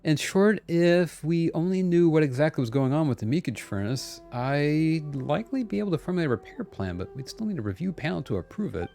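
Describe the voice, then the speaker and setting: A fussy game-character engineer, read aloud by a man, wordy and officious. The pitch moves around in a lively way, and music plays behind the voice. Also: fairly quick, vocal fry, few pauses. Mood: officious, earnest. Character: fussy, long-winded, bureaucratic engineer reading out repair plans.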